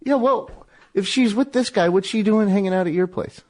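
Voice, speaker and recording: A man's voice: high voice, normal speed, a little mellow. An American man hosting a radio show, bantering casually and prodding his guest with teasing questions. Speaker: radio host.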